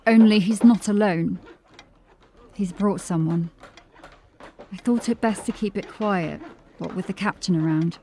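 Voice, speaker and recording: A woman playing a discreet, hesitant junior police officer in an audio drama, speaking in a hushed way. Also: medium pitch, unhurried, pauses often, slight background noise, lightly acted. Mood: hesitant, secretive.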